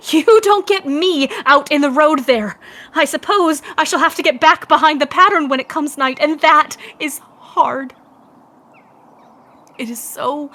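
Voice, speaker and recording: High-voiced woman unraveling into madness. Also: a bit bright. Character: confined young wife unraveling into obsessive, gleeful madness. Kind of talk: found-footage audio drama monologue, as if confiding to a tape. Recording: noisy lost-tape recording.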